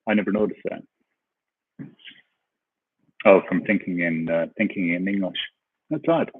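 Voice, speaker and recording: Man, casual livestream host, deep husky voice, tinny phone-like sound. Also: steady tone, measured pace, no echo. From Canada. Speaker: livestream host answering chat questions. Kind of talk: casual chat. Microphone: headphones with mic.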